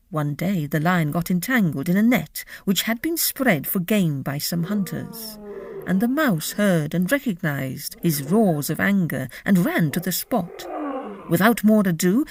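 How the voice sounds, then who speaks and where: A woman's voice: fairly low pitch, gravelly, a little mellow. A woman hosting a podcast and reading scripted storybook narration.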